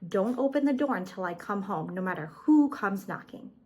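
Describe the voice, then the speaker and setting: A woman voicing a caring mother rabbit who calmly and firmly instructs her babies in a storybook tale. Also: medium pitch, moderate pace, slightly rough. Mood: gentle instructing. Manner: calm, instructing her children.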